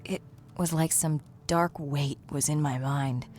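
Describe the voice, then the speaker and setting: A woman's voice: low voice, steady tone, a little slow, darkish tone, soft background music. A woman voicing an earnest, troubled young seer in a video game cutscene, with dramatic delivery.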